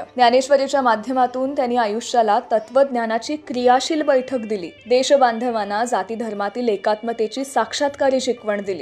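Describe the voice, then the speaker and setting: High-voiced woman radio host over music. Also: a little mellow. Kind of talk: scripted devotional music radio narration.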